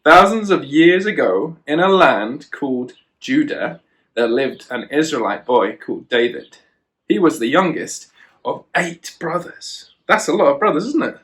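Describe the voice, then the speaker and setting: A man's voice: on the high side, expressive, measured pace, a bit bright, rough recording. A man reading a children's storybook aloud, with chatty asides to a child. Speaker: children and youth worker, storyteller.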